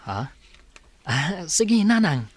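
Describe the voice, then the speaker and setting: A man's voice: a little high, big pitch swings, takes it slowly. A man playing a grateful, respectful young villager in an Ilocano radio comedy drama. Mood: respectful, explaining. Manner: polite, respectful to his elders. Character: grateful young man Walek, riding home late.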